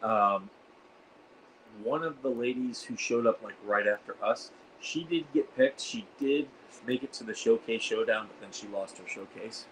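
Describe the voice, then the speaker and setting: A hoarse man hosting a casual livestream, rambling with lots of fillers and reading out the chat. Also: mid-range voice, normal speed, a little hiss or hum, rough recording. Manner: rambling, reads chat aloud, frequent fillers. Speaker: host of a veterans livestream. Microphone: iPad.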